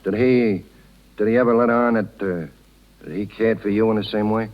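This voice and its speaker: An actor playing a plain-spoken, protective circus worker in a 1930s radio drama, with blunt, slangy working-class talk. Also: male voice, on the low side, steady tone, normal speed, natural voice. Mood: earnest, tender. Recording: dull 1930s radio recording.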